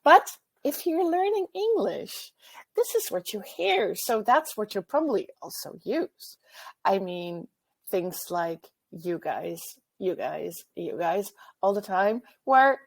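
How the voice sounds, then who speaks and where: Slow, husky-voiced woman panelist. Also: mid-range voice, sing-song. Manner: earnest, stumbles on words. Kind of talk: panel discussion.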